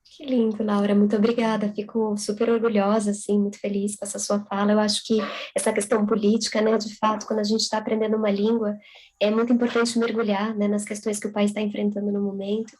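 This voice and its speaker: A young woman writer from Brazil speaking over a video call. Her voice stays flat and level, with little rise or fall, whether she reads her prose or answers questions. The pitch sits in a middle range. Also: fast, poor audio. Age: young adult. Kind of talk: reading own prose aloud, then conversation.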